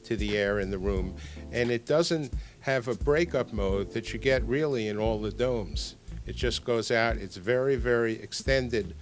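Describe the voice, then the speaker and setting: A man's voice: medium pitch, measured pace, soft background music. An older man being interviewed as a product designer, explaining technical details and repeating words for emphasis.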